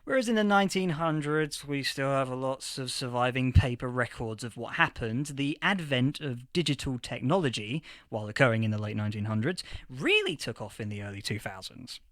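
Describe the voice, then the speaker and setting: A man playing an eager, flustered history professor in a fiction podcast interview, stammering and repeating words excitedly. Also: medium pitch, brisk, hardly any pauses, no echo, lightly acted. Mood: earnest, lecturing. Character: eager, flustered male history professor of a far-future age. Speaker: interviewed academic expert.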